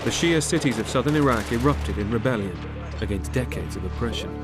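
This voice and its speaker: Male narrator for serious documentary, mid-range pitch, measured and grave scripted read, laid over music. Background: music under the voice.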